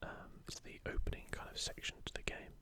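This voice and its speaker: A man whispering softly and breathily in an unhurried, gentle ASMR commentary. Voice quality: soft, breathy unvoiced whisper. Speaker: gaming ASMR video creator. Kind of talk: whispered ASMR, casual commentary with passages read aloud.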